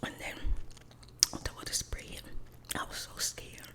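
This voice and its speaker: A middle-aged woman tells a casual personal story in a breathy whisper, colloquially and with repeated emphasis, as in ASMR. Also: normal speed.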